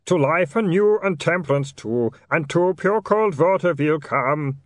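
A man's voice: on the high side, big pitch swings, normal speed, no room sound, studio quality, voice pushed up. A man voicing an anguished, polite male vampire in an audiobook, with a trembling, wailing voice and melodramatic, pleading delivery. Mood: strained singing.

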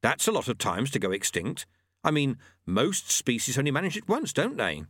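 A man's voice: mid-range voice, wildly swooping pitch, normal speed, a bit bright, slightly rough, dry recording, clean studio sound, pitched above the performer's own voice, full character voice. A man voicing an earnest young male apprentice monk who sounds anxious and incredulous. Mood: uneasy.

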